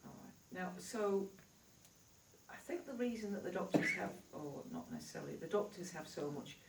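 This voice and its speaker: A woman with a Yorkshire accent speaks to an audience in a chatty, reassuring way. Her voice sits a little low and rings around a large reverberant hall, heard from some distance, with faint room noise behind. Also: animated, normal speed, vocal fry. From Yorkshire, England. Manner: chatty, reassuring, laughs easily. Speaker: spiritual healer. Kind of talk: live stage talk to an audience. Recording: echoey hall recorded from afar.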